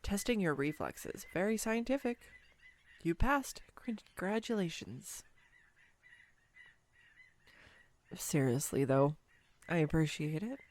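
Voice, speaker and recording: Casting: actress as excitable, clumsy young woman on a first date, roleplay audio; teasing, hesitant, slow-paced, swooping intonation. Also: medium pitch. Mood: sheepish, teasing. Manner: bubbly, hesitant, playfully teasing, expressive. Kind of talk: scripted girlfriend roleplay audio, intimate one-sided dialogue.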